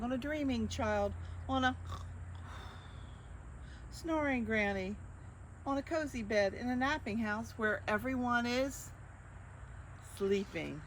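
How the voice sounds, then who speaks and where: An older woman reading picture books aloud to young children, expressively, with drawn-out phrases and questions to her listeners. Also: on the high side, a little hiss or hum. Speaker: children's storyteller.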